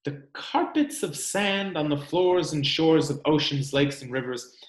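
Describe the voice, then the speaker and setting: A man in his thirties reading a children's picture book aloud in a deliberate, explanatory way, with dramatic emphasis on key words. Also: a little high. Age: 30s. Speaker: read-aloud presenter. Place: small room at home, indoors. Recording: roomy home recording.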